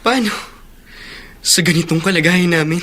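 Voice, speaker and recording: A man's voice: a little high, normal speed, sharp tone, slight background noise. A man acting an afflicted elder brother in a radio drama, sincere and heavy-hearted. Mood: despairing. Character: earnest, afflicted elder brother clinging to faith.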